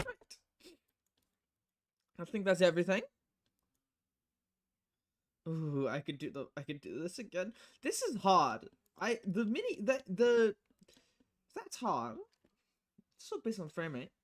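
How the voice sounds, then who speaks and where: Lively woman streaming games casually. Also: fairly low pitch, slow, pauses often. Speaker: game streamer. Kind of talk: casual live gaming chat.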